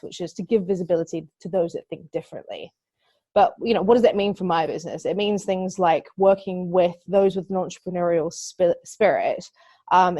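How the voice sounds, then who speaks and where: A woman's voice: fairly low pitch, dark tone, no room sound. Kiwi woman in her 30s on a podcast, earnest, lots of ums, dry close mic. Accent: New Zealand. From New Zealand. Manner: earnest, thinks aloud with many fillers. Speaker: guest, digital marketing agency owner. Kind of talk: podcast interview.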